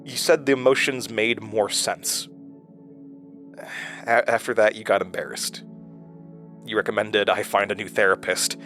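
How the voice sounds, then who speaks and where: Guy playing a haunted investigator in a horror audio drama, lowish voice, earnest and unsure, music under him. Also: steady tone, fairly bright tone. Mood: wistful, halting. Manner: halting, stammering in dialogue, low-key and earnest. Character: hesitant, haunted male investigator recounting a horror story. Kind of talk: intimate audio drama acting and narration.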